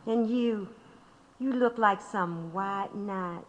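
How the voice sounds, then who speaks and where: An actress playing an affectionate, teasing young wife in an audio drama. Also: female voice, mid-range voice, slow pace, muffled recording, lightly acted. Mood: fond, teasing. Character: tender, teasing young wife of a labor organizer.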